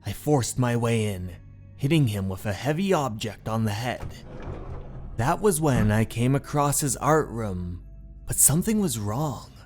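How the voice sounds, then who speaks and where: A man's voice: mid-range voice, animated, darkish tone, soft background music, natural voice. A man playing an obsessed, unhinged painter in a horror story, narrating in a theatrical, brooding manner. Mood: obsessive, uneasy. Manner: slow, theatrical, brooding, with anguished outbursts. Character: obsessed painter, unhinged, infatuated, confessing a murder. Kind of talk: dramatic first-person horror story narration.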